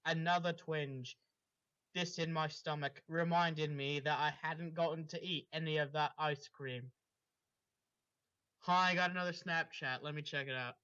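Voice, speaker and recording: Bright-voiced man reading aloud, dull recording. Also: a little high, fairly even intonation, measured pace, clean tone. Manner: breaks off into chatty asides. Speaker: story reader. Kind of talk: reading fan fiction aloud, with casual asides.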